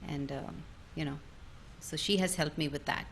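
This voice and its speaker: A middle-aged woman singer from India talking on a video call, thoughtful and pausing with many ums. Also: low-pitched, steady tone, slight background noise.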